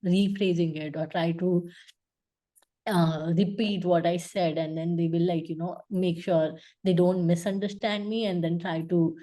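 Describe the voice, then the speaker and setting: Young gujarati-accented woman, earnest guest chat, mellow lowish voice. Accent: Indian English, Gujarati. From India. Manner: earnest, many fillers like "like, you know". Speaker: guest, UX designer. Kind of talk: casual interview talk.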